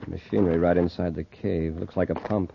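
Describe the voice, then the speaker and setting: A steady male commander of a space patrol, his voice low and authoritative. The delivery is classic radio adventure acting, on a noisy vintage recording. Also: brisk, creaky, muffled recording. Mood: brisk, steady. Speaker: radio drama actor.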